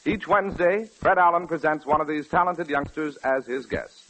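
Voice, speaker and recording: Higher-pitched 1940s male radio announcer. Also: moderate pace, fairly bright tone, a little hiss or hum, rough recording. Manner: formal, polished. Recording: vintage 1940s radio broadcast recording.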